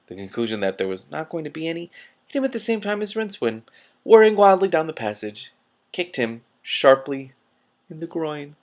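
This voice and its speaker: A man gives an animated read-aloud of fiction. His voice sits at a medium pitch, and he corrects his slips as he goes, stepping out to comment. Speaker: reader and commentator.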